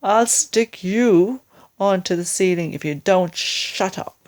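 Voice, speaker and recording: A woman voicing a bossy, excitable father monkey in a children's storybook, snappy and urgent as he gives orders. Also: medium pitch, takes it slowly, natural voice. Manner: shouting orders, exclamatory.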